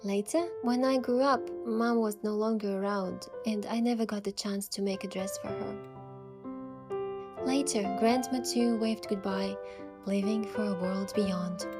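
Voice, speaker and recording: A woman's voice: mid-range voice, fairly even intonation, a little slow, natural voice. A young woman playing a sincere, sensitive heroine who narrates her story in a game, earnest and careful, with music behind her. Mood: wistful, resolute. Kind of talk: scripted first-person game narration.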